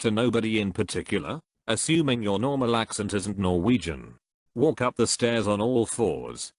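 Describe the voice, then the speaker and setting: Low-pitched guy reading comments out, steady and even, dry room. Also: dry recording. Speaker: narrator reading comments aloud. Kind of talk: reading posts aloud.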